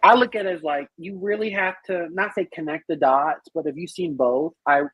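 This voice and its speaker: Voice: mid-range voice, moderate pace, fairly bright tone, husky, no room sound. A podcast panelist chatting casually about a film, explaining animatedly and acting out reactions, with some casual swearing. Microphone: online group call. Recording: muffled, lo-fi online call audio.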